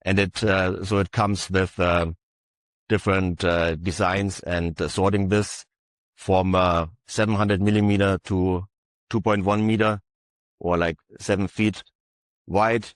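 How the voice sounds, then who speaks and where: A man presenting his company's equipment at an industry session, speaking haltingly with many filler uhs. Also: low-pitched, flat delivery, no room sound. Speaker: conference panelist.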